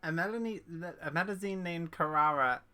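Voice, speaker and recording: A woman's voice: fairly low pitch, normal speed, background noise. A woman game streamer giving casual, playful play-along commentary, reacting aloud as she plays.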